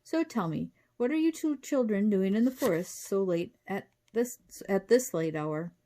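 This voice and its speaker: A woman voicing a kindly, calm little male professor with a British accent and quaintly proper manners, in a storybook reading. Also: medium pitch, normal speed, close to an everyday voice. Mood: genial, curious.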